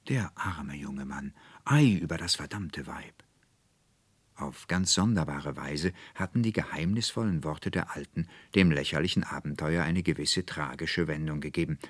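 Deep guy reading a classic story, measured n expressive, super dry studio recording. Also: no room sound. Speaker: narrator. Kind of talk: literary audiobook narration.

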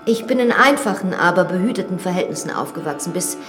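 Young widowed lady of a manor, frank and self-assured, lowish voice, reverberant radio drama with music female actor. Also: expressive, quick pace, natural voice. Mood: wistful, confiding. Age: young adult.

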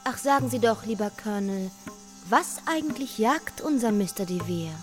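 An actress playing a cool-headed, self-assured British widow and huntress in a German radio play, with a poised manner. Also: female voice, mid-range voice, expressive, normal speed, faint music behind, close to an everyday voice. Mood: poised, curious.

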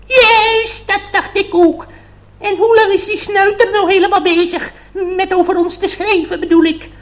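An extremely high-pitched old witch for a radio fairy tale. She is brash and impudent. She cackles and mocks as she talks. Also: steady tone, normal speed, fairly bright tone. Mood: mocking, brash.

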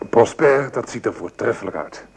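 A man's voice: mid-range voice, lively intonation, normal speed, vocal fry, slight background noise. A man playing a loyal French soldier in a radio drama, speaking politely and earnestly. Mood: appreciative.

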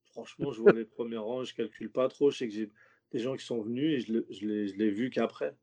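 A man's voice: medium pitch, fast talker, darkish tone. A man who is a stand-up comedian, talking loosely in a casual interview, slangy and rambling with many restarts. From French suburbs.

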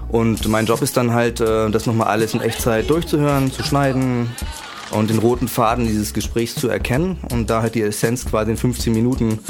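A man's voice: medium pitch, steady tone, brisk, faint music behind. A man with a cold, a podcaster guest on a radio show, talking in a hesitant, casual way. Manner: hesitant, with fillers. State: has a cold. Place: radio studio.

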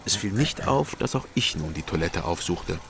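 A man playing an East German private investigator, narrating a crime radio drama in a measured, dry, matter-of-fact way. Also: low-pitched, animated, creaky, fairly bright tone, full character voice. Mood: brooding, matter-of-fact.

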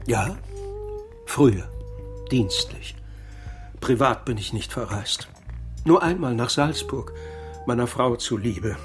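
A man's voice: medium pitch, animated, slow, long pauses, soft background music. An actor in a radio crime drama playing a bitter, lonely laid-off editor in his fifties, aggrieved, wry and articulate. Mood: resigned. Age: 50s. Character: bitter, lonely laid-off former editor-in-chief, man of fifty-four.

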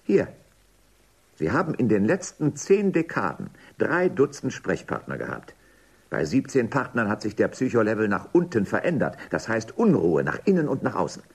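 An actor playing a stern, officious interrogator in a dystopian radio drama, pointed and accusing. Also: male voice, lowish voice, huge pitch swings, normal speed, slightly rough, exaggerated voice. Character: stern bureaucratic male interrogator in a dystopian society. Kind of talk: German radio drama acting.

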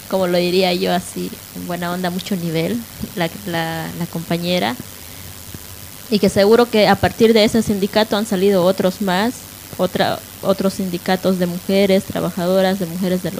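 Una mujer con acento boliviano que comenta de forma informal en un programa de radio, con frases entrecortadas y muletillas interrogativas. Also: female voice, mid-range voice, vocal fry, a little mellow, poor audio. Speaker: radio show panelist. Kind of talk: casual radio discussion. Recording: faint background hiss.

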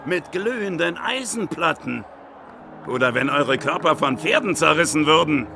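A man's voice: fairly high pitch, animated, moderate pace, creaky, background noise. An actor in a radio play voicing a bitter, fervent male preacher who declaims and provokes. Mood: mocking, provoking.

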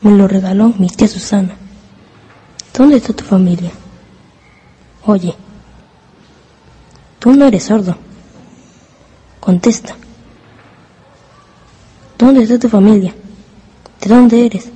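A chummy, slightly bossy schoolboy in a radio play. The voice is very husky and dark, confiding and insistent, ringing in a long hall reverb. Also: female voice, medium pitch, slow pace, long pauses. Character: friendly, bossy, chatty young schoolboy befriending a newcomer. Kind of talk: radio drama acting.

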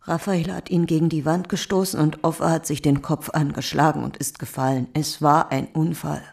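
An actress playing a defensive, grieving mother under interrogation in a radio drama, with a gravelly, fragile delivery. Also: low-pitched, level tone, moderate pace, a little mellow. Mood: defensive, shaken. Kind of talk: German radio drama acting.

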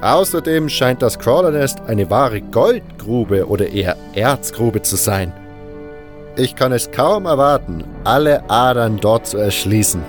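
A man's voice: medium pitch, animated, a little slow, faint music behind, natural voice. A man voicing a jovial, beaming mine boss in a fantasy audio drama, hearty and exuberant. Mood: beaming, excited.

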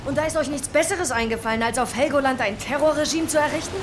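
A woman's voice: sits high, fast, noisy background, natural voice. An actress playing an indignant, questioning woman survivor at sea in a radio horror drama, incredulous and challenging.

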